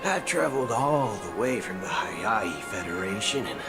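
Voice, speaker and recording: A man's voice: lowish voice, expressive, moderate pace. A man playing a brash, impatient young traveler in a video game, informal and slangy, shifting between chatty and muttering. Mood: chatty, probing. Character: brash young male traveler fishing for clues, casual and impatient. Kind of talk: animated video game voice acting. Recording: loud game music underneath.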